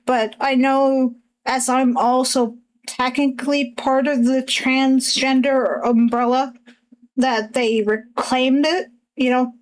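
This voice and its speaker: Slow, hesitant husky-voiced woman. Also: high voice, fairly even intonation, poor audio. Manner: hesitant, halting, many fillers and restarts. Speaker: streamer. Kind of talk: casual chat while drawing on stream.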